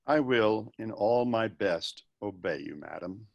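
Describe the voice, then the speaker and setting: A man playing a bitter, grieving young prince, reading Shakespearean verse in a somber, deliberate manner. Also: fairly low pitch, lively intonation, vocal fry, muffled recording. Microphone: video call.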